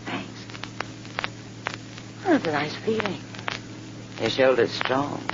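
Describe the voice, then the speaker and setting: Very animated, coy woman. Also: low-pitched, slow pace, exaggerated voice. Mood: wistful, seductive. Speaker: actress. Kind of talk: old-time radio drama acting. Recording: noisy muffled old radio recording.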